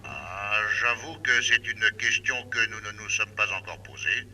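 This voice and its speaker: An actor playing an overworked, courteous police commissioner with a French accent, in 1960s radio drama style. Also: male voice, on the low side, animated, moderate pace, pushed and bright, heavily acted, rough recording. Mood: matter-of-fact.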